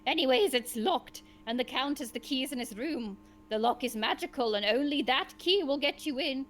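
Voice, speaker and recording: A woman hosting and narrating an interactive gamebook, mixing expressive storybook reading with casual asides. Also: high-pitched, normal speed, slight background noise. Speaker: host and narrator of a gamebook session.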